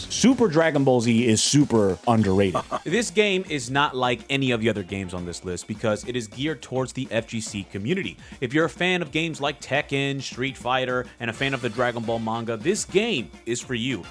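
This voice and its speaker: A man with a hoarse, sick voice giving a blunt, excitable review of video games. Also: medium pitch. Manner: excitable, blunt, with playful outbursts. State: hoarse from illness. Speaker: gaming video creator. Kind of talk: opinionated tier list review.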